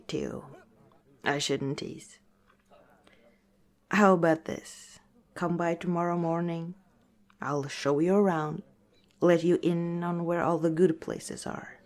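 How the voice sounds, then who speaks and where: A woman voices a female orc who runs a village tavern in an ASMR fantasy roleplay. She talks very slowly and gently, in a chatty, friendly way with a teasing streak. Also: low voice. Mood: playfully teasing. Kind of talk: ASMR roleplay, softly spoken one-sided conversation.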